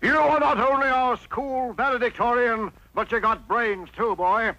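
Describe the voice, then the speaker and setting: Very high, crackly cartoon gentleman. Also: steady tone, normal speed, no room sound, muffled recording, heavily acted. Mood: pompous. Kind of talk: vintage cartoon voice acting.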